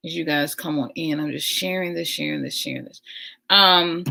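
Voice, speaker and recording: A woman hosting a casual livestream, talking warmly and enthusiastically, repeating her phrases and making chatty asides. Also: low-pitched, wildly swooping pitch, moderate pace, gravelly. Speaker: livestream host.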